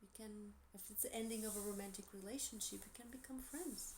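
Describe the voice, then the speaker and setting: A woman with a Portuguese accent, gentle and encouraging, giving a tarot reading with soft asides and self-corrections. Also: medium pitch, fairly quick, a little hiss or hum. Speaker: tarot reader.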